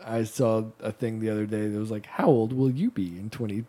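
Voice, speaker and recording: A man's voice: fairly low pitch, fairly even intonation, a little fast, mellow. A man in his thirties co-hosting a podcast, chatty and enthusiastic as he brings up bits of trivia. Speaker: podcast co-host. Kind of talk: casual chat.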